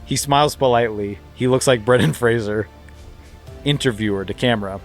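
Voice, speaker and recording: A man reading stage directions aloud in a dry, steady voice at an average pitch. He keeps an even narrator's tone as he moves through a comic script reading. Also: a little fast, faint music behind. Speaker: podcast host, narrator.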